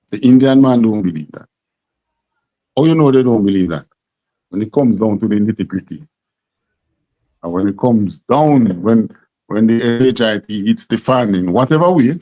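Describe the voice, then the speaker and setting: A man's voice: mid-range voice, dark tone, no room sound. A man with a Jamaican accent teaching a scripture class, emphatic and full of rhetorical questions. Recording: dull lo-fi sound.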